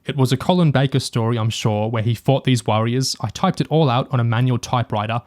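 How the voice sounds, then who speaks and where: A man's voice: medium pitch, fast talker, darkish tone. Man in casual review discussion. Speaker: podcast co-host.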